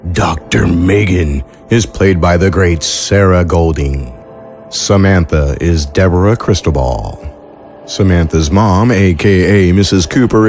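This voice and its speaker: Male announcer, low register, playful folksy showman for serial credits, light music underneath. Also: a little mellow.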